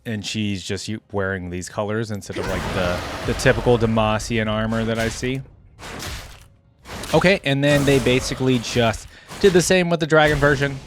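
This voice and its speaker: A man's voice: mid-range voice, fairly even intonation, normal speed, noisy background. A man giving casual reaction commentary as a video host, musing aloud in a rambling way.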